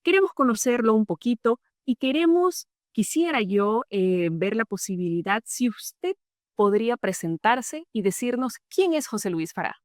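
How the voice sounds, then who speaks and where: A woman's voice: a little high, big pitch swings, moderate pace, no room sound, studio quality. A woman hosting an interview, speaking in a polite, formal manner as she introduces and questions her guest. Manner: polite, formal, warm-toned welcome. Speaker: interviewer, host.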